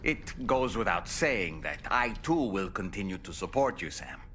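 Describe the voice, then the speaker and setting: A man's voice: lowish voice, very animated, normal speed, fairly bright tone, faint music behind. An actor playing a courteous, loyal man living as a doll in a video game, speaking formally and politely. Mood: courteous, earnest. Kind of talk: scripted game cutscene dialogue.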